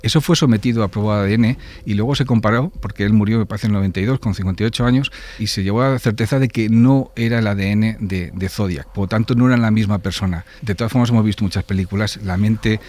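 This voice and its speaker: A man's voice: fairly low pitch, quick pace, darkish tone. A man explaining a criminal case in spontaneous, conversational talk as a podcast commentator.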